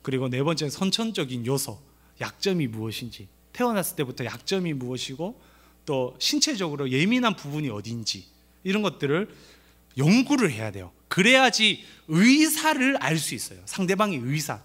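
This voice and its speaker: Lively high-voiced Korean pastor with a light Gyeongsang accent. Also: male voice, brisk. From Gyeongsang region of Korea. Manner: lively, playful, acts out quoted lines. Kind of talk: family seminar sermon. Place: church.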